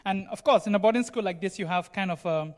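A man's voice: sits high, quick pace. A man preaching a sermon in a conversational, explanatory way, often checking in with his listeners. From Cameroon. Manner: explanatory, frequently checks in with "right?" and "you know". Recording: long hall reverb.

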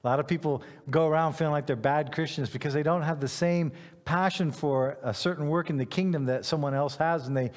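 Male preacher, middle-aged, fairly high register, informal self-deprecating storyteller, recorded with long hall echo. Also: a little fast. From Ghana, West Africa. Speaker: pastor. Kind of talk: conversational sermon.

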